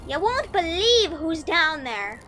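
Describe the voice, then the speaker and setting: A small, playful female flying creature for a fantasy story. The voice is extremely high and piercingly bright, with swooping, teasing lines. Music sits behind it. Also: big pitch swings, exaggerated voice. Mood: excited. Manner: drawn-out, playful. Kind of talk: fantasy audio drama acting.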